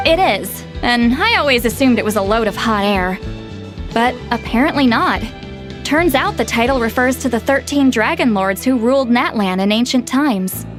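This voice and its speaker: A woman's voice: on the high side, lively intonation, normal speed, music in the background, close to an everyday voice. An actress voicing a proud, scholarly young woman in a video game, explaining things in an articulate, self-assured way. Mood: confident, explanatory. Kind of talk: video game dialogue, expository storytelling.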